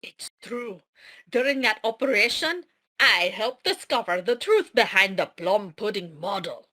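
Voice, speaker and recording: A woman's voice: medium pitch, animated, measured pace, bright, forceful tone. A woman playing a defiant, guilt-ridden and jealous woman in an amateur fantasy drama table read, in a dramatic, indignant manner. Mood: confessing, bitter.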